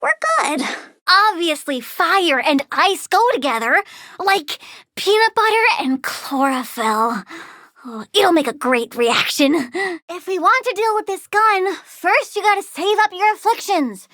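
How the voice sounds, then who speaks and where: Very high-pitched bratty little villain girl. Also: animated, unhurried, pushed and bright, no echo, full character voice. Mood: perky, eager. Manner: perky, precocious, sassy. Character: childlike young plant-controlling villain girl, bratty, eager, cheerfully menacing. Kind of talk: video game character voice lines.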